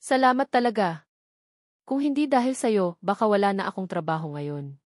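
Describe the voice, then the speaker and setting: A woman's voice: mid-range voice, normal speed, no room sound, studio quality, natural voice. A woman storyteller voicing an earnest, apologetic rich young man in a romance story, pleading and sincere. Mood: sincere apology.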